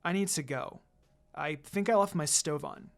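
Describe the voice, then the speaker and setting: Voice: mid-range voice, normal speed, gravelly, voice pushed down, close to an everyday voice. A cool young ninja woman, played by a story reader, sly and unbothered. She teases in a dry, understated, mocking way, as in an anime fan tale. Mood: casual.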